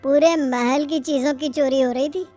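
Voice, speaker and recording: A woman's voice: sits high, steady tone, moderate pace, darkish tone, faint music behind, close to an everyday voice. A woman voicing an older husband who reasons earnestly in a cartoon story. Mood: concerned. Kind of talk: animated moral-story acting.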